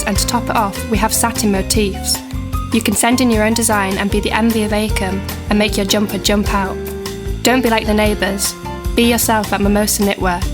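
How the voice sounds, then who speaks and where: A woman's voice: mid-range voice, close to an everyday voice. A woman playing a polished, self-satisfied knitwear advertiser in a spoof radio commercial. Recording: music behind the voice.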